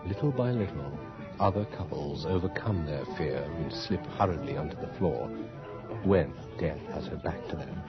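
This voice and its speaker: An actor playing a suave, courtly gentleman poet who narrates a ball in a radio horror drama, with a theatrical delivery. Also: a bass voice, moderate pace. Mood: suspenseful. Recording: dull 1980s radio recording with music.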